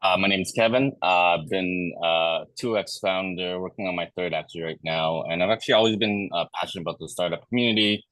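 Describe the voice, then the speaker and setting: Deep-voiced man with dry sound. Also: quick pace, hardly any pauses, fairly bright tone, no room sound. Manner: many "uh" fillers, eager. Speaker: panelist, founder. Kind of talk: conference panel talk. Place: conference stage.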